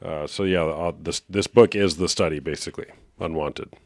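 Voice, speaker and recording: A man hosting a live call-in show, talking in a relaxed, steady way and asking callers short, direct questions. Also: low-pitched, moderate pace, dry recording, clean studio sound. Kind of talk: casual call-in talk.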